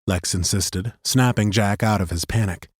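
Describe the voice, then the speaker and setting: A man narrating a fantasy audiobook in an expressive, scripted storytelling style. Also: fairly low pitch, normal speed, breathy edge, no room sound, studio quality. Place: dry recording studio.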